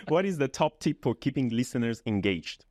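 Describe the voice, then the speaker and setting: A man's voice: mid-range voice, expressive, moderate pace, darkish tone. Easygoing male interview host. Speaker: podcast host. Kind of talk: interview questions.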